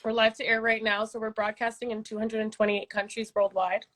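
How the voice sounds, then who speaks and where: A woman's voice: fairly high pitch, little pitch movement, brisk, a bit bright. A woman radio host talking casually on a live stream, informative and listing details.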